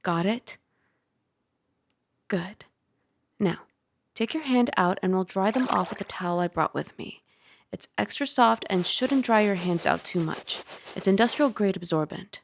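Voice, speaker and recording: A woman playing a caring, teasing young dragon girl roommate in a soft-spoken ASMR roleplay, gentle and conversational on a close mic. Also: mid-range voice, lively intonation, unhurried, long pauses, a little hiss or hum. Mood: reassuring, soothing. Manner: gentle, playful, conversational, with sighs and hushed asides. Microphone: close microphone.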